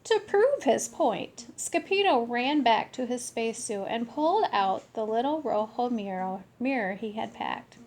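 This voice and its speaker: Female storybook reader, fairly high voice, very unhurried, expressive children's read-aloud delivery. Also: a little hiss or hum. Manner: animated storytelling with deliberate phrasing.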